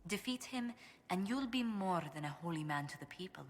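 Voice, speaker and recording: A woman's voice: medium pitch, animated, brisk, long reverb tail, a little hiss or hum, close to an everyday voice. A woman voicing a gracious, poised diplomat. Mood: solemn. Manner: polished, courteous and elegant. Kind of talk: video game voice acting.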